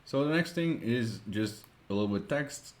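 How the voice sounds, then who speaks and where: A man with a Dutch accent, a Dutch native speaker, narrating a screen-recorded web design tutorial, thinking aloud with many pauses and fillers. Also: mid-range voice, animated, a little mellow.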